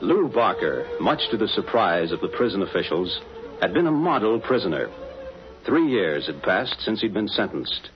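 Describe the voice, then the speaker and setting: A man's voice: fairly low pitch, sing-song, normal speed, over music. A man narrating a 1940s radio drama as announcer, emphatic and theatrical in his scripted delivery. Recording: muffled old 1940s radio recording.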